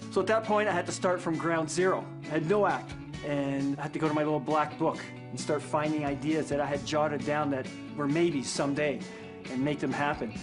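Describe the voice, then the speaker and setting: A man from Toronto tells his magician's story with wry, self-deprecating humor, his voice pitched slightly high. Guitar music plays clearly underneath him. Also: fairly even intonation, brisk. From Toronto, Canada. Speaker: magician contestant. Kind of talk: interview-style storytelling.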